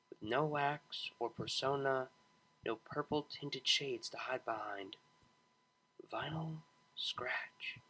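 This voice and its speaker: Bright, expressive man reading a story. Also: a little high, breathy edge, poor audio. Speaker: audiobook narrator. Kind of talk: expressive scripted narration of fan fiction.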